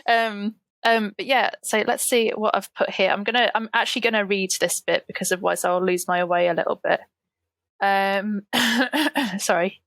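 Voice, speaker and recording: A woman on a gaming podcast panel, chatting casually and rambling a little, her voice going hoarse. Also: medium pitch, normal speed, a bit bright, no room sound. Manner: rambling and self-deprecating, with frequent fillers. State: losing her voice.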